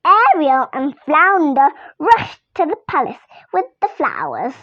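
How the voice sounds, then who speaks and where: A young girl reading a children's story aloud in an expressive, deliberate storytelling manner. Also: high-pitched, dry recording, highs cut off.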